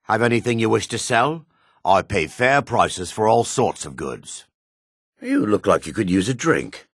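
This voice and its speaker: An actor playing a shifty, greedy dark elf merchant and foreman in a fantasy video game, brusque and sly, with a raspy voice. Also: fairly low pitch, very animated, measured pace, no room sound, studio quality, full character voice. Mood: sly salesmanship.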